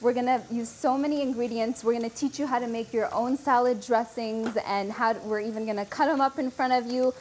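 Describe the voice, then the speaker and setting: A woman's voice: fairly high pitch, steady tone, fairly quick, few pauses, a little mellow, a little hiss or hum. Upbeat young woman presenting a cooking show. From California. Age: young adult. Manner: upbeat, talks straight to camera. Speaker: dietetics student. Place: studio kitchen set.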